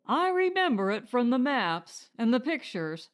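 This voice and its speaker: A woman's voice: on the high side, big pitch swings, measured pace. A woman voicing an eager young husband, a hopeful settler in a storybook, speaking emphatically. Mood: excited.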